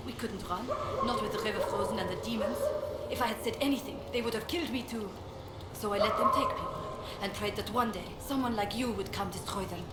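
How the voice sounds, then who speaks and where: An actress playing a weary, defensive village leader in a video game, earnest and self-justifying. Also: female voice, mid-range voice, a little fast, echoey room, heavy background noise, close to an everyday voice. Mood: defensive, regretful.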